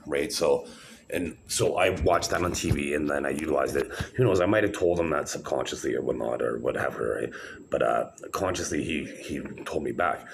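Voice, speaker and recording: A man's voice: extremely low pitch, gravelly, slight background noise. A middle-aged man rambling to the camera in a casual vlog, meandering with many fillers and restarts. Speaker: vlogger showing his own videos.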